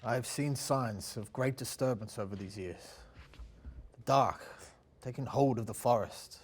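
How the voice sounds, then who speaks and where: A man's voice: medium pitch, unhurried, husky. A man playing a weary, humble father who once led his tribe, at a table read of a fantasy drama. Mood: grave, resigned.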